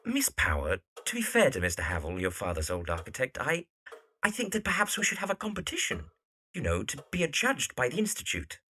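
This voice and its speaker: A man acts an earnest young architect in a period audio drama. His voice is bright and animated. He stammers and hesitates, always courteous and easily flustered. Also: mid-range voice, a little fast, slight background noise.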